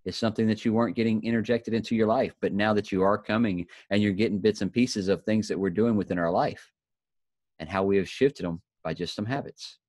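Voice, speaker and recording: A man with a low voice leads a motivational group in a folksy, encouraging way. The recording is close and dry, with little room around him. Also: fairly even intonation, a little fast, a little mellow, no room sound. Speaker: host of a daily inspirational group.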